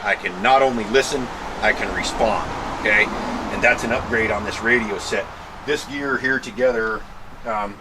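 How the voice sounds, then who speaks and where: American man vlogging over steady background noise. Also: lowish voice. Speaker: vlogger showing a truck modification. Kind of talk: casual explainer to camera.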